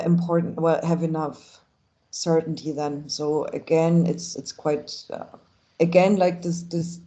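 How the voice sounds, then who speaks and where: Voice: mid-range voice, mellow. A panel expert on heat planning, speaking carefully and hedging with many fillers. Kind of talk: panel discussion.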